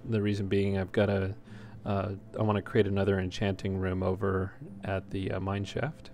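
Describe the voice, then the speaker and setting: A man's voice: sits low, monotone, moderate pace, darkish tone, faint music behind. A man giving casual, unscripted gameplay commentary as a let's play host, talking in an easygoing, hesitant ramble. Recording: clearly noisy home recording.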